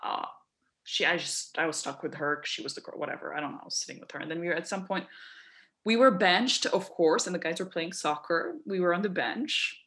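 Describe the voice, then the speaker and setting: Young woman from Lebanon, low-voiced storyteller. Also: fairly quick. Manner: animated, quoting people in voices. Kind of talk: casual storytelling.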